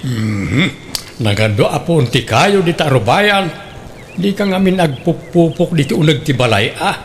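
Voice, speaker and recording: With faint noise behind, a put-upon village watchman in a radio comedy. The fairly high voice grumbles and retorts sarcastically like a long-suffering husband. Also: lively intonation, moderate pace. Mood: exasperated. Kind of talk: comedic radio drama acting.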